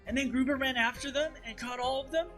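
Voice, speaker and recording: A man's voice: very high voice, quick pace, fairly bright tone, soft background music, pitched above the performer's own voice. A man voicing an eager, doubting, bossy young child in a dramatized children's read-aloud. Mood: excited.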